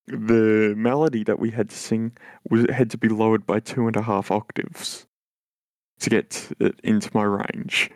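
A young man with a very deep voice, chatting casually as a podcast co-host and talking in halting, hesitant phrases full of restarts. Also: flat delivery, no echo. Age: young adult. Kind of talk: casual chat.

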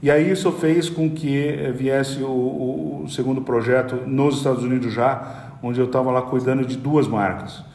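A middle-aged man with a Brazilian Portuguese accent talks through his business experience in a relaxed, meandering way. He keeps restarting and repeating little words as he explains. His average-pitched voice carries a noticeable room echo. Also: normal speed, creaky. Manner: hesitant, repeats words while explaining at length. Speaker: podcast guest, company executive. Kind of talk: conversational business storytelling. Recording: roomy echoey room.

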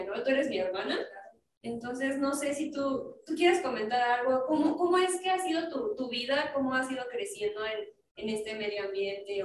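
A woman's voice: a little high, distant mic. A young woman hosting a casual podcast, chatty, with many fillers. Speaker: podcast host. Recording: echoey room, voice a bit off-mic, faint noise.

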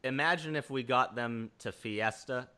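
Man, podcast host, upbeat, leads the questions, bright tense tone. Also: medium pitch, moderate pace, clean tone. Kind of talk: casual interview.

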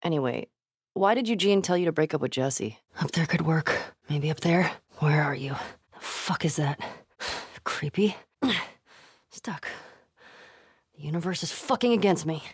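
A woman's voice: low-pitched, animated, unhurried, long pauses, husky, dry recording, studio quality, heavily acted. An actress playing a tough, sardonic young American woman survivor in a video game, naturalistic and clipped, often speaking under her breath. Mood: muttering, wary.

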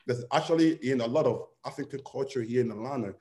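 A man's voice: medium pitch, fast, mellow. A young man talking casually as an interview guest, rambling and full of fillers. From Nigeria. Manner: rambling, many "you know" fillers.

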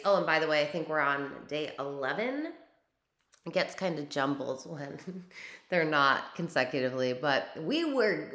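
A young adult woman hosting her podcast, slightly hoarse from losing her voice, chatting in an upbeat, rambling way. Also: low voice, pushed and bright, reverberant. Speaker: podcast host and spiritual business coach. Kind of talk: casual solo podcast chat.

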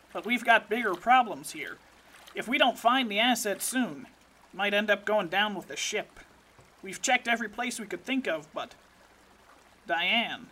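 A woman's voice: mid-range voice, pushed and bright. A woman voicing a blunt, foul-mouthed male secret agent who gives crude, matter-of-fact reports. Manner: blunt, profane field-report delivery.

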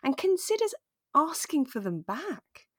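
A woman's voice: high-pitched, sing-song, a little slow, no room sound, studio quality. A woman co-hosting a podcast in casual, playful chat, recapping a drama. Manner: chatty, playful. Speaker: podcast co-host.

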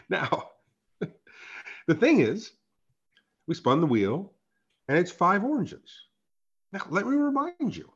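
A man with a raspy, hoarse voice, co-hosting a casual podcast chat, emphatic and quick to laugh. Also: high voice, highs cut off. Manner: animated, emphatic, swears and laughs often. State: hoarse from a sore throat. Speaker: podcast co-host.

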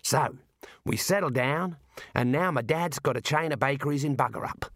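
A man's voice: mid-range voice, moderate pace, fairly bright tone, no echo, studio quality. A man voicing a breezy, blunt dwarf outdoorsman in a storybook, with colloquial, clipped sentences. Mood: breezy, proud.

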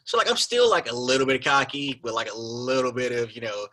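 A man's voice: medium pitch, very animated, a little fast, sharp tone. A man in his thirties, an interview guest telling a story casually, with lots of "like" and "you know". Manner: loose, filler-heavy, lots of "like" and "you know".

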